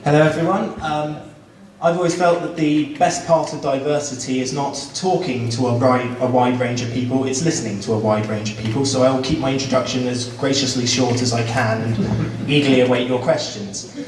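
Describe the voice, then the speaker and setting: A man giving a measured, earnest campaign speech at a local debate. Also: medium pitch, fairly even intonation. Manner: earnest, measured, some fillers. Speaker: election candidate. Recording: roomy hall, distant mic, faint noise.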